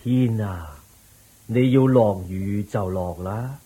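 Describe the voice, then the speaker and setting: A man playing a calm, wise Buddha in a Cantonese radio drama. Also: fairly low pitch, husky, poor audio. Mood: serene. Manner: slow, calm and serene. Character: calm serene Buddha, gentle wise teacher.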